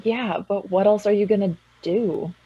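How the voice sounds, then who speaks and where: A woman's voice: medium pitch, normal speed, slightly rough, highs cut off, close to an everyday voice. A young actress playing a tipsy, dry-witted woman from a small town in a radio drama. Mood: wry, tipsy.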